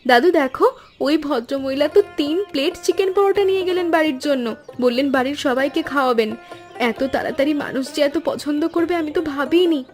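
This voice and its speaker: A woman voicing an earnest, expressive young granddaughter who cooks at a food stall in an animated story. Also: high-pitched, quick pace, soft background music, close to an everyday voice. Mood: delighted. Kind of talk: Bengali animated story dialogue.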